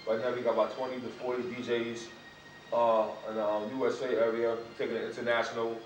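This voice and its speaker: A man giving a laid-back hip hop promo shout-out in street slang. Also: medium pitch, flat delivery, quick pace. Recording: roomy, far from the mic, faint hiss.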